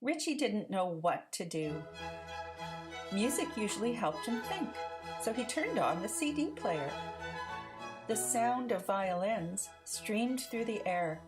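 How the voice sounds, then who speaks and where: Animated, unhurried woman reading a picture book. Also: mid-range voice, faint music behind. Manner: deliberate, animated storytelling. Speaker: read-aloud storyteller. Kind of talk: expressive children's picture book narration.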